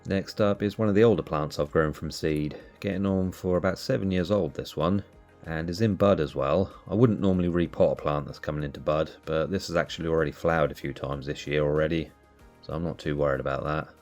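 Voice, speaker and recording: A man hosting a cactus channel, sounding nasal from allergies, calmly explaining as he narrates. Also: a bass voice, brisk, soft background music. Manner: relaxed, explanatory. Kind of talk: casual voice-over narration.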